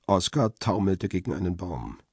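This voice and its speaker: Grim husky guy narrating a crime radio play, really deep, dry and close, terse storytelling. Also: sing-song, moderate pace, darkish tone, dry recording, exaggerated voice. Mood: grim, tense. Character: middle-aged male photographer Peter Lime, grim first-person narrator.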